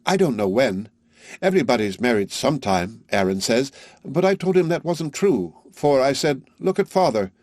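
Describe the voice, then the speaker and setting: A man reading aloud, voicing an earnest, loving young village girl who talks gently and simply. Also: medium pitch, big pitch swings, normal speed, no room sound, clean studio sound, pitched above the performer's own voice, lightly acted. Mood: tender.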